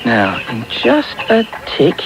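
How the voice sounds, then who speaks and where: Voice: medium pitch, huge pitch swings, slow pace, heavily acted. An actor in a radio drama playing a crafty young pickpocket, knowing and conspiratorial as he shows the ropes. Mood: sly. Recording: very noisy medium-wave radio tape.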